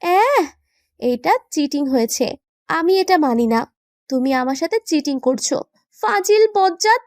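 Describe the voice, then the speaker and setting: A woman acts out a playful young wife with a high-pitched voice, recorded dry and close. She pouts, banters and mock-scolds, quick to protest, in the style of a romantic audio drama. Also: lively intonation, darkish tone, no room sound. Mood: pouty, scolding. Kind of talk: romantic audio story acting.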